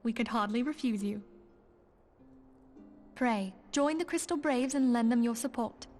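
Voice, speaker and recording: Game's female order leader actress: composed, formal delivery, somewhat high voice, soft musical backing. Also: faint music behind, natural voice. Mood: composed, commanding. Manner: formal, stately, deliberate phrasing. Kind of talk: video game cutscene acting.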